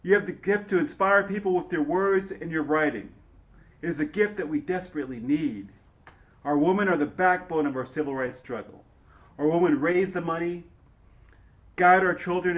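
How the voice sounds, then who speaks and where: A man acts out an earnest civil rights leader in a dramatic stage reading. His voice sits fairly high, and he persuades with measured, oratorical appeals. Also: natural voice.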